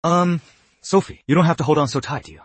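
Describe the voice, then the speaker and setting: A patient, gentle young father who talks quickly in a husky, mild voice. The sound is close and dry. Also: a little high, no echo.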